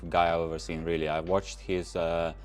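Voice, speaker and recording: A man's voice: sits low, monotone, moderate pace, clean tone. A young man from Latvia, not a native English speaker, casually answering chat questions on a livestream and rambling with lots of fillers. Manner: loose and rambling, many fillers and restarts. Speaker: car channel host.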